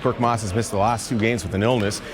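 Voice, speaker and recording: A man with an American accent, reading news and sports headlines in an upbeat, energetic way. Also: fairly low pitch, fast talker, over music. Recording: loud crowd cheering.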